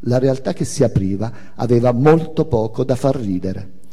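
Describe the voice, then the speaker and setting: Voce maschile teatrale, molto modulata, in sala riverberante. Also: male voice, mid-range voice, lively intonation, warm tone, breathy edge. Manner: measured, dramatic pauses with emphatic phrasing. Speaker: author and performer reading own memoir on stage. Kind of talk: theatrical memoir reading, narration. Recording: hall reverb of a live reading.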